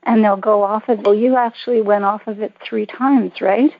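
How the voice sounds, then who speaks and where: A woman's voice: mid-range voice, fairly even intonation, normal speed, no room sound. A woman co-hosting a conference call in a casual, agreeable and chatty way, heard over a lo-fi line. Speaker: co-host and order taker.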